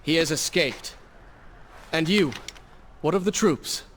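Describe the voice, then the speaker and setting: An actor playing a proud, earnest young warrior prince with a dry wit in a dramatic video game. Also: male voice, a little high, slow, a bit bright, slight background noise. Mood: earnest, concerned.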